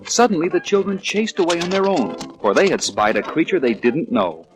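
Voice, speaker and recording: A man's voice: a little high, expressive, fairly quick, music in the background, poor audio. A man narrating a children's read-along story in a scripted storytelling style.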